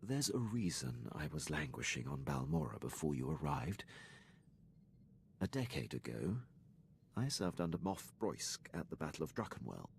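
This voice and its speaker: Stiff proper space navy captain, deep voice that moves around a lot, very deferential, video game dialogue. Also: male voice, creaky, darkish tone, a little hiss or hum, full character voice. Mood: earnest, restrained. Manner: stiff, deferential, precise military diction. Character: formal, dutiful imperial military officer and starship captain.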